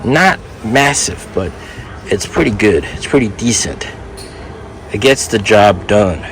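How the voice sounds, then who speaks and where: A man's voice: on the low side, lively intonation, slow, slight background noise, low-quality recording. A man hosting a casual car review vlog, talking to viewers in an emphatic way and repeating words for stress. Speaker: host of an electric car stock channel.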